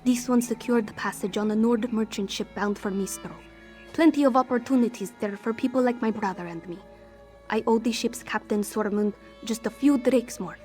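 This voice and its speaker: A woman's voice: on the high side, moderate pace, darkish tone, faint music behind, close to an everyday voice. A woman voicing an anxious, street-hardened female cat-folk thief in a fantasy game, defensive and speaking in formal, contraction-free phrasing. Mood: proud, hopeful. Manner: refers to herself in third person, formal contraction-free phrasing. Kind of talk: fantasy game character dialogue.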